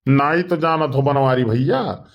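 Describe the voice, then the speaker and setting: Slow-talking boastful Awadhi village neighbour played by a man. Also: medium pitch, lightly acted. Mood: boastful, protesting. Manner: protesting, boastful. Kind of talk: animated comedy dialogue.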